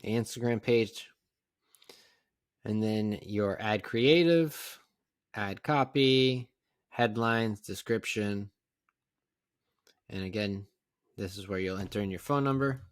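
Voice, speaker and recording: A man narrating a casual step-by-step software tutorial in a plain, conversational, instructional way. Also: on the low side, slow pace, long pauses, no room sound, studio quality. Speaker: tutorial presenter.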